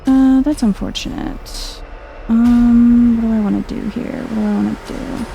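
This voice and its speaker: A woman softly narrates her video game as she plays, chill and thinking out loud. Her voice is mellow with a creaky, gravelly edge, and she takes her time. The ASMR-style commentary stays low-key. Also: steady tone, unhurried, slight background noise, low-quality recording. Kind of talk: soft-spoken ASMR game playthrough commentary.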